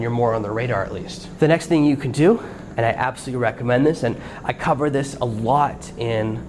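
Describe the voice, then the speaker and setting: A man of middle pitch hosts a solo podcast, walking listeners through each step in a practical, coaching manner. His voice sits at an everyday register, with a faint hiss behind it. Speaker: podcast host. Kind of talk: solo podcast advice talk.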